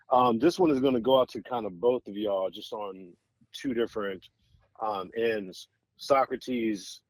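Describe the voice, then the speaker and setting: Low-voiced American man hosting an interview. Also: a little mellow, dry recording, low-quality recording. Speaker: podcast host interviewing guests. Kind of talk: casual conversational interview.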